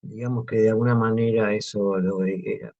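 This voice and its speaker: Gravelly-voiced Argentine man, hesitant. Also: fairly low pitch, fairly even intonation, a little fast, dark tone. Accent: Argentine Spanish. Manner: hesitant, many fillers and restarts. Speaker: guest artist. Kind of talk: relaxed interview talk. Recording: muffled lo-fi audio.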